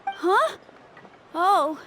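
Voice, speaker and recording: A woman's voice: very high-pitched, big pitch swings, drawn-out words, fairly bright tone, a little hiss or hum, heavily acted, low-quality recording. A woman voicing a reserved, sincere young child in a video game, speaking in a hesitant, awkward way. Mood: sheepish, subdued.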